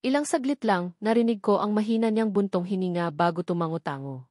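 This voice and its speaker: A woman's voice: mid-range voice, brisk, dark tone, no echo, studio quality. A woman with a Filipino accent reading a first-person romance novel as scripted narration. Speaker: audiobook narrator.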